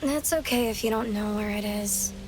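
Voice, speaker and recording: A woman's voice: mid-range voice, normal speed, noisy background, natural voice. A woman voicing a lost, timid, forgetful little child in an anime-style game, with hesitant, simple phrasing. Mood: lost, uncertain.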